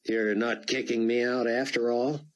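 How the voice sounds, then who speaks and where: A man voicing a gentle, forgiving young man in an audiobook, speaking calmly with light humor. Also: mid-range voice, unhurried, voice pushed up, close to an everyday voice. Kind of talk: audiobook character voice.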